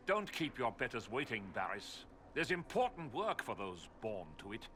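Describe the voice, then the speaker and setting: A man's voice: mid-range voice, very animated, normal speed, pushed and bright, a little hiss or hum. An actor playing a haughty, impatient nobleman in a fantasy game, pompous and sneering toward those he thinks beneath him. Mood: haughty, dismissive. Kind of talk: theatrical fantasy game acting.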